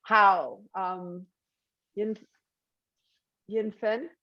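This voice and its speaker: A woman's voice: medium pitch, fairly even intonation, drawn-out words, no echo, muffled recording. A woman moderating a panel in an informal, conversational way.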